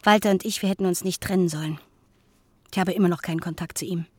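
An actress in a radio play playing an earnest, modest middle-aged woman, reading her letter aloud in an intimate, measured, confiding way. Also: lowish voice, fairly even intonation, husky, dry recording. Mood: confiding, content.

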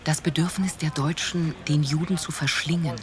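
A woman reciting scripted literary statements for a radio play, declarative and deliberately phrased. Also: low voice, fairly even intonation, background noise, lightly acted. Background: music.

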